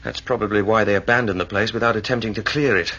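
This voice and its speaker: A man's voice: fairly low pitch, quick pace, sharp tone. An actor playing a practical male spaceship crewman in a sci-fi radio drama, dry and matter-of-fact. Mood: dry, reasoning. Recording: muffled old radio recording.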